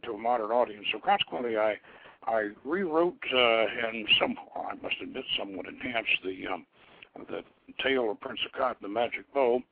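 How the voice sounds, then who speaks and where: Husky man on a tinny phone line. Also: on the low side, fairly quick, no room sound. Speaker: radio show host. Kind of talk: informal show introduction and dramatic story reading.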